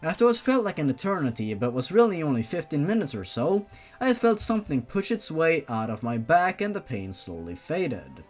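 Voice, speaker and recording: Male narrator, fairly high and very animated, theatrical and sarcastic story reader, music behind. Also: normal speed. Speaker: host reading fan fiction aloud for mockery. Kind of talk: dramatic story narration broken by sweary, exasperated asides.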